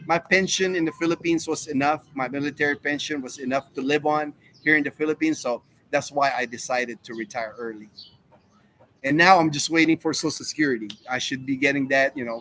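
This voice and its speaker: A man's voice: on the high side, steady tone, brisk, bright, forceful tone, rough recording. A man in his fifties, from the United States, hosting a casual live Q&A stream and rambling through answers to chat questions. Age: 50s. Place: home in the Philippines.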